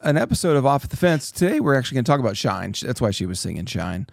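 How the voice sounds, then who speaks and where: A man hosting a casual church podcast, talking with animated, preachy emphasis and reading scripture aloud. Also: mid-range voice, very fast, vocal fry, no echo. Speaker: pastor and podcast host.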